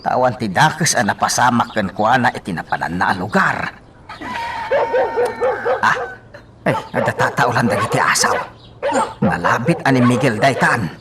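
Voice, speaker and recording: A man's voice: medium pitch, animated, normal speed, pauses often, over a noisy crowd, lightly acted. A man acting a concerned, cautioning village elder in an Ilocano radio drama.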